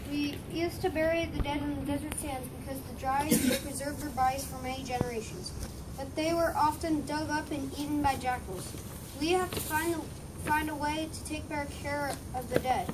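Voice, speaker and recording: A woman playing a patient Egyptian father and priest teaching his children, reading a little haltingly in amateur readers theater. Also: high-pitched, steady tone, close to an everyday voice. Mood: patient, instructive. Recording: distant mic, noisy room ambience.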